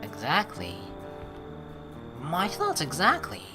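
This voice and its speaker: A woman's voice: low voice, wildly swooping pitch, slow pace, over music. A woman commentating over a game as a let's play host, rambling and swearing casually. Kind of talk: game commentary mixed with reading dialogue aloud.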